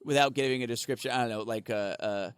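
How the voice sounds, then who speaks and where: A man's voice: medium pitch, a little fast, clear, resonant tone, dry recording, clean studio sound. A man co-hosting a comedy podcast with casual banter and crude, irreverent jokes. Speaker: podcast co-host.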